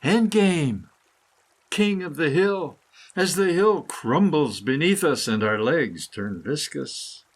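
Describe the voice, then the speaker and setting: A man reading his own scripted meditative prose poem in a solemn, deliberate manner with dramatic phrasing. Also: a little high, animated. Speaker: author reading own essay.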